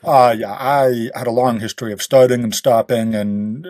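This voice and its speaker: A man's voice: mid-range voice, steady tone, moderate pace, a little mellow, no echo. A man speaking as a guest author in a casual podcast interview, explaining things in detail in a conversational way. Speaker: guest author of western fiction.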